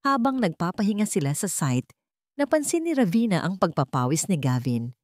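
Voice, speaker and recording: Woman, lowish pitch, dry close sound, scripted romance narration, measured phrasing. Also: very animated, a little mellow, no room sound. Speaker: story narrator.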